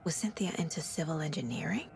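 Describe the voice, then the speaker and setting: Faint ambient noise surrounds a low-voiced female investigator in a horror game. She mutters her thoughts to herself, level-headed, dry and understated. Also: animated, quick pace, gravelly. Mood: pondering, hushed. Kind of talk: video game acting.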